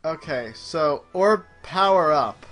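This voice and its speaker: A man's voice: a little high, animated, slow, pushed and bright, faint music behind. A man giving casual let's play commentary, exclaiming at the game as he plays it. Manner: exclaims at the game while playing. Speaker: player in a gaming show.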